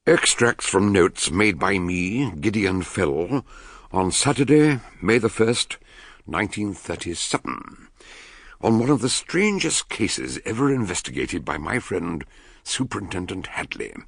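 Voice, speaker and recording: An older man with a British accent playing an eccentric, shrewd gentleman detective in a radio drama, speaking in deliberate, courtly phrases with a gravelly voice. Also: low voice, animated, full character voice. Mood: narrating gravely. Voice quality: gravelly, husky.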